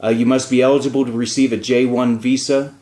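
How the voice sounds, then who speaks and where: A man at a middle pitch hosts a relaxed talk show. He explains things in a loose, chatty way, with plenty of "uh" between thoughts. His voice carries a noticeable roomy echo. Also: fast talker. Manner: chatty and explanatory, with frequent fillers. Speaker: radio show host and diplomat. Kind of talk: casual talk show chat.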